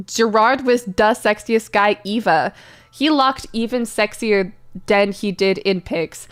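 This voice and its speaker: A woman's voice: medium pitch, clean tone. A woman giving an exaggerated, theatrical dramatic reading of badly written fan fiction, misspellings and all. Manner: exaggerated, theatrical delivery that keeps deliberate misspellings. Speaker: streamer doing dramatic readings.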